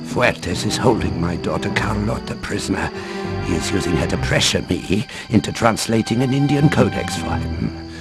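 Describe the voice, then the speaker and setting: An actor playing a worried, learned middle-aged professor in a dramatic game cutscene, speaking formally and gravely. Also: male voice, fairly low pitch, lively intonation, moderate pace, loud music behind the voice. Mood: grave, pleading. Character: worried learned professor, father of captive daughter.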